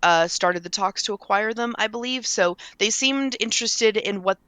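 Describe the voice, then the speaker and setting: A woman's voice: mid-range voice, normal speed, a bit bright, no echo. A slightly congested woman talking casually as a panelist in a video game discussion. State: mildly congested.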